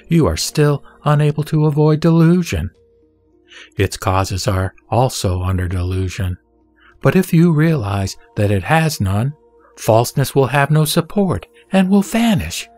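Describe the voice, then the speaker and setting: A man reads sacred text as a narrator, with a measured and solemn delivery. His pitch is average, and music plays underneath the scripted, dramatic reading. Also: a little mellow. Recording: background music behind the voice.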